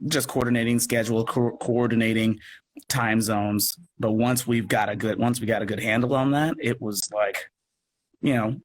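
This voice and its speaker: A man speaking as an interview guest in a casual, halting way, thinking aloud as he goes. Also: medium pitch, slight background noise, poor audio. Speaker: guest, audio drama producer and actor.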